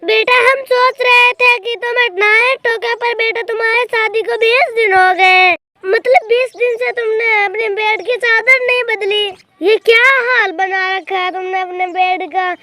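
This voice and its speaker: A woman's voice: extremely high pitch, fairly even intonation, measured pace, pushed and bright, heavily acted. A woman voicing a middle-aged, exasperated mother bird in a cartoon story, scolding and lecturing with affectionate words. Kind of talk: Hindi cartoon story dialogue.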